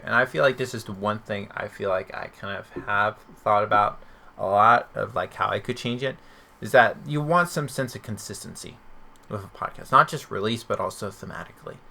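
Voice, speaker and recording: A man's voice: fairly low pitch, vocal fry, pushed and bright. A man hosting a podcast in casual chat, rambling and questioning himself as he talks. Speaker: podcast host.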